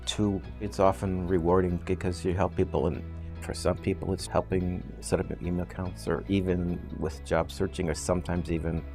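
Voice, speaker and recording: A man who is a client and volunteer tutor, talking in a relaxed, matter-of-fact way. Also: deep voice, normal speed, over music. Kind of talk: personal testimonial.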